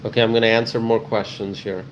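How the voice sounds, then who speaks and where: A man's voice: lowish voice, level tone, quick pace, a little hiss or hum, poor audio. Emphatic man chatting live with viewers. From Bangladesh. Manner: repeats phrases for emphasis. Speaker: livestream host answering viewer comments. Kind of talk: casual live chat with viewers.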